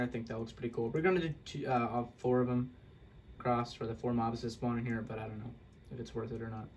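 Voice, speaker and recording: A man's voice: mid-range voice, darkish tone, slight background noise. A young man casually walking through a game build, rambling and correcting himself with self-deprecating asides. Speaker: Minecraft video creator. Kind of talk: casual gameplay walkthrough.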